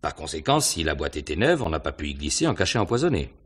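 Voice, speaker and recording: Husky, low-voiced police inspector. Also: male voice, very animated, a bit bright, heavily acted. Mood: probing. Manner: hesitant, musing, deliberate questioning. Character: courteous, probing male police inspector on a murder case. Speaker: radio drama actor. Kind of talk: French mystery radio drama acting, 1950s manner.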